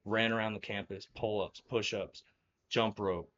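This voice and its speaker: A man's voice: fairly low pitch, fairly even intonation, measured pace, fairly bright tone, muffled recording, slight background noise. A young man from New Jersey telling stories as a guest in a casual interview, rambling with lots of fillers and laughing often. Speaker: guest, former college wrestler.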